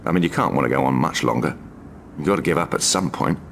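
An actor playing a jaded, middle-aged secret agent in a video game, dry, blunt and weary. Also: male voice, very deep voice, lively intonation, fast talker, vocal fry, slight background noise, heavily acted. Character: jaded, hard-bargaining veteran male secret agent. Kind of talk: video game cutscene acting.

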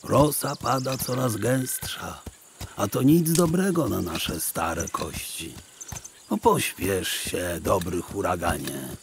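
A man's voice: fairly low pitch, animated, slow, gravelly, a little hiss or hum, heavily acted. An actor playing a kindly, exasperated old scholar in an audio drama, wordy and theatrical as he pleads and mock-scolds. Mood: gently pleading.

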